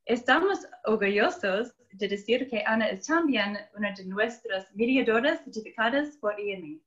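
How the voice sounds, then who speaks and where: A woman's voice: a little high, lively intonation, moderate pace, fairly bright tone. Australian woman host on dull call audio. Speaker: host, institute director. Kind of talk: scripted introduction.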